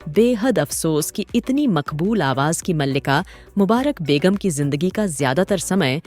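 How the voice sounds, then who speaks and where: Expressive low-pitched woman narrating dramatically. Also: measured pace. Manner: dramatic, literary Urdu-tinged storytelling. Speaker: host and narrator. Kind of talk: scripted dramatic documentary narration. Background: background music.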